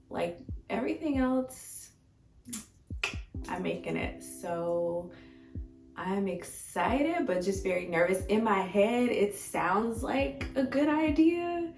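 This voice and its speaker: An American woman with a slightly hoarse, raspy voice, chatting informally and expressively to her viewers in a casual life-update vlog. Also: lowish voice. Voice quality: a little raspy. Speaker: vegan food vlogger and host. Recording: echoey room, distant mic, faint music.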